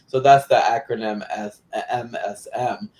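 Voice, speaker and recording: A young man from Florida, a community activist, giving clear interview answers with some "um"s. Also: mid-range voice, fairly even intonation, unhurried, a little mellow. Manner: articulate and explanatory, with fillers.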